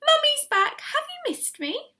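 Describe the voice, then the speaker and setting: A woman reading a storybook, voicing a doting, excitable lady who coos and gushes over her pet. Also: very high-pitched, a little slow, pitched above the performer's own voice, full character voice. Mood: gushing. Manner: cooing, gushing baby talk to a pet. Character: doting, excitable lady tortoise owner, gushing sweetly.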